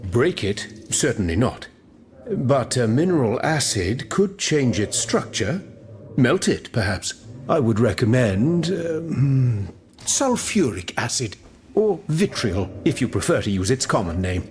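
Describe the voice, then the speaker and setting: Learned chemist, pedantic, confident, mid-pitched, widely varied intonation, game cutscene. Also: male voice, very animated, measured pace, darkish tone, a little hiss or hum. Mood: musing, pedantic. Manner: pedantic, musing, precise. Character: confident, fussy, learned French gentleman chemist. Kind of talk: scripted video game cutscene dialogue.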